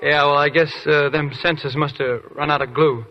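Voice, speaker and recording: An actor playing a cocky young soldier who talks in a cheeky, drawling way in a 1940s radio drama. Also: male voice, on the high side, steady tone, normal speed, natural voice. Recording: dull lo-fi 1940s radio broadcast.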